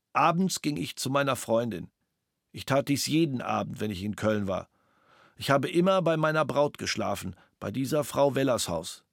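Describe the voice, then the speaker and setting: A man's voice: mid-range voice, vocal fry, dry recording. Man reading a statement, dry.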